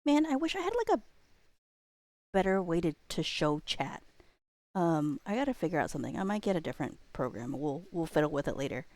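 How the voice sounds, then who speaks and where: A woman's voice: low voice, big pitch swings, a little mellow, no room sound. A woman livestreamer chatting casually with her viewers, rambling and thinking aloud in a playful way. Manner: playful, quick to laugh. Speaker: livestreamer and host reading chat.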